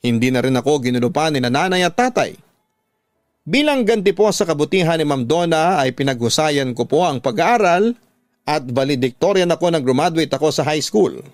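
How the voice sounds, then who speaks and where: A man's voice: on the high side, animated, normal speed, no echo, studio quality. A man with a Filipino accent reading a scripted, dramatic first-person life story as a radio storytelling host.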